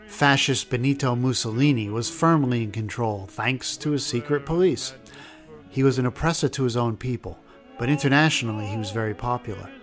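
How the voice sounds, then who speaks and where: A man hosting a documentary with scripted history narration. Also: mid-range voice. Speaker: documentary host.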